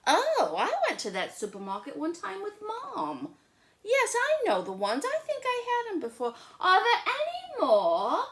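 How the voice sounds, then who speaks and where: A woman voicing a fussy, playful little girl from a storybook, with dramatic, drawn-out delivery. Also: extremely high pitch, wildly swooping pitch, roomy sound, pitched above the performer's own voice, exaggerated voice. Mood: eager.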